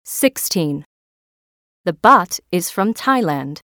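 A woman reading simple textbook sentences with an even, deliberate delivery. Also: on the low side, no echo, clean studio sound. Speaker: audio narrator.

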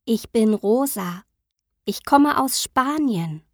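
A woman announcing listening exercises and reading dialogue lines with clear, measured delivery. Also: fairly high pitch, expressive, no room sound, clean studio sound. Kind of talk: slow textbook reading.

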